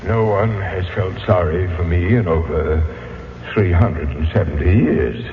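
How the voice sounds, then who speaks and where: A man's voice: low voice, unhurried, slight background noise. An actor playing the centuries-old ghost of a wrathful, tormented English nobleman, declaiming theatrically in archaic speech for a radio drama. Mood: shaken, sorrowful. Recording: dull old radio recording, echoing hall reverb.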